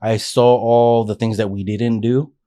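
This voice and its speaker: A man's voice: medium pitch, level tone, measured pace, mellow. A man in his forties, a podcast guest, telling his life story in an earnest, rambling way with frequent restarts. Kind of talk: casual life-story interview.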